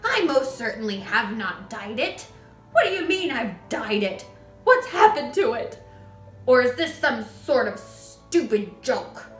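A woman voicing a blustering, bad-tempered middle-aged father who yells with indignant, bullying outrage. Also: a little high, animated, takes it slowly, hardly any pauses, roomy sound, faint music behind. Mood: indignant yelling.

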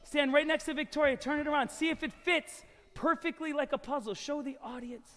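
High-voiced energetic stage magician man. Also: brisk. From New York. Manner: energetic, coaxing, talks to volunteers and crowd. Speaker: family magician performer. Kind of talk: live stage magic show for kids. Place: large old church concert hall with audience. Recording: loud background music in a hall.

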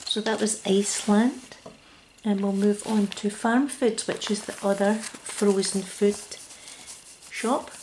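A Scottish woman speaking ASMR in a soft, gentle whisper close to the mic, at a table indoors. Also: mid-range voice, steady tone, takes it slowly, slight background noise, rough recording. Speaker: ASMR creator.

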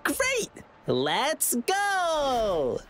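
A man's voice: extremely high pitch, wildly swooping pitch, very slow, a bit bright, faint music behind, full character voice. An actor voicing a cheerful, eager young lion-eared boy performer in a video game, friendly and bubbly. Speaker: lion dance troupe performer.

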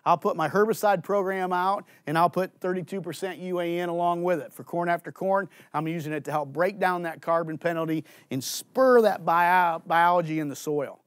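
A man in his forties, a farm agronomist, giving an energetic conference presentation on a studio stage through a headset mic, asking and answering his own questions. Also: sits high, moderate pace, hardly any pauses, no room sound. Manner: gestures and walks on stage while talking. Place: indoor studio stage with green curtains.

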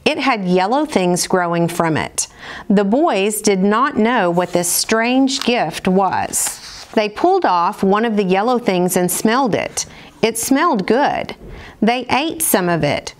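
A woman's voice: medium pitch, lively intonation, takes it slowly. Middle-aged American woman reading a storybook aloud. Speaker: storyteller, school program director. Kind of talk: read-aloud storybook narration for children. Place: indoors, seated at table before dark curtain.

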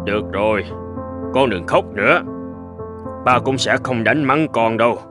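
A man playing a stern but fair middle-aged father in a cartoon, speaking in a measured, earnest, admonishing way. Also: mid-range voice, natural voice. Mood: calm, serious. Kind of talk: animated moral tale dubbing. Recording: music behind the voice.

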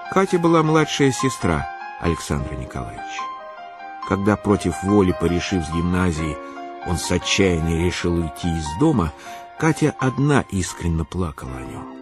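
An actor narrating a Russian literary radio play as an expressive, measured male storyteller. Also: sits low, music in the background. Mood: reflective.

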